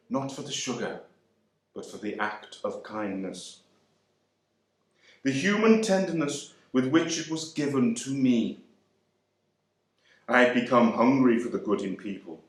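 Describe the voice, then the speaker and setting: A man giving a first-person dramatic reading of a novel monologue, measured and expressive. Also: mid-range voice. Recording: roomy echo.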